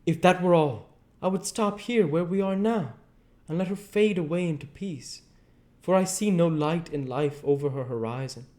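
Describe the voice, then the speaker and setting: Man voicing an urgent, high-pitched commanding old professor. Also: moderate pace, darkish tone, natural voice. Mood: grave. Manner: exclamatory, commanding, stilted foreign phrasing. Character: urgent older male professor, commanding and stern. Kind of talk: audiobook character voice.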